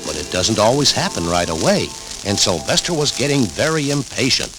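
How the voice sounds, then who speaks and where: A man's voice: lowish voice, sing-song, a little fast, low-quality recording. A man narrating a scripted children's story on a vintage record. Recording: vintage 78rpm record with music.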